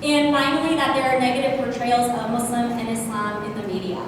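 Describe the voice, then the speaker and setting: A woman presenting her research at a conference, earnest and full of fillers, with a voice she calls loud. She is heard in an echoey hall. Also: on the high side, fairly even intonation, fairly quick. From United States. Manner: earnest, many fillers, self-aware about loud voice. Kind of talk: academic conference talk. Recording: echoey hall from far off, noisy.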